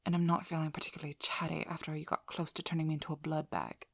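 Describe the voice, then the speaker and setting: Female vampire hunter played by a woman for ASMR role-play: low, husky, breathy, guarded and firm, close on the mic. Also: little pitch movement, fairly bright tone, no room sound, full character voice. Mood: weary, dry. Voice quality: breathy, mostly whispered. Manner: slow, soothing instructions, firm and controlled. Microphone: close ASMR microphone.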